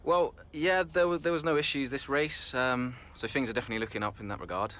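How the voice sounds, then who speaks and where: A man's voice: medium pitch, fast, a bit bright, natural voice. A man playing a guarded young racing driver being interviewed in a video game cutscene. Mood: measured, hopeful.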